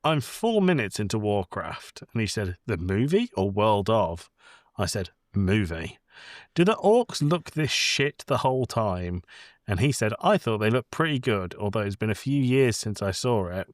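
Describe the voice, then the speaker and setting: A man's voice: fairly low pitch, sing-song, measured pace, no room sound, studio quality. A man co-hosting a casual podcast, giving blunt opinions in a conversational way with some swearing. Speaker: podcast co-host. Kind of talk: casual movie discussion.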